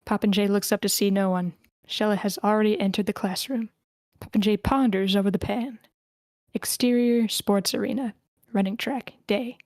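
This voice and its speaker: Woman narrating a script at a table read, medium pitch, no room echo. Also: fairly even intonation, moderate pace, darkish tone, studio quality. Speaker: narrator reading stage directions.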